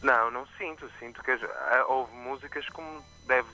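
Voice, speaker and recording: A man with a Porto accent and some Brazilian expressions, a musician talking casually in a live radio interview, often pausing with fillers and restarting. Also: mid-range voice, faint music behind, low-quality recording. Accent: Porto Portuguese with some Brazilian expressions. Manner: relaxed, chatty, with frequent fillers and restarts. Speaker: musician guest. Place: remote from his own location, on live radio.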